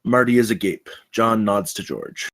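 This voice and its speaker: A man's voice: fairly low pitch, moderate pace, a bit bright. A man reading stage directions as scripted narration at a film table read.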